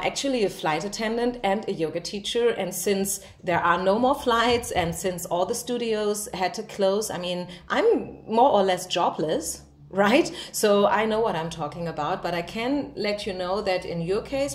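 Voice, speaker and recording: Woman giving a tarot reading. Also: mid-range voice. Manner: direct, reassuring, addresses listener with frequent check questions. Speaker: tarot card reader. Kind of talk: informal spoken tarot reading to viewers.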